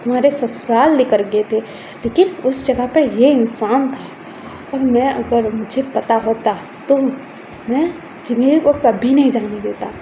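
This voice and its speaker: Stubborn, anguished father in a told story, acted by a woman; high, mellow-toned, accusing, insistent. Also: unhurried, slight background noise, low-quality recording. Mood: angry, accusing. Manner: accusing, repeats questions insistently. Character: anguished, stubborn, protective parent, a man, quick to anger.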